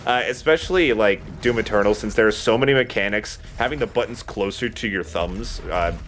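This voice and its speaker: A man with a lowish voice hosts a casual video game let's play. He talks in a rambling, conversational way and swears now and then, with music playing underneath. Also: fairly quick, a bit bright. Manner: rambling, sometimes profane. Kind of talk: casual gaming commentary.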